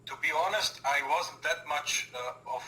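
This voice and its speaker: 20s guy from austria, earnest english, repeats himself, super bright tinny roomy call audio. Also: a little high, moderate pace. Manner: earnest, repeats words, slightly non-native phrasing. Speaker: podcast guest. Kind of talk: casual interview chat. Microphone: remote call.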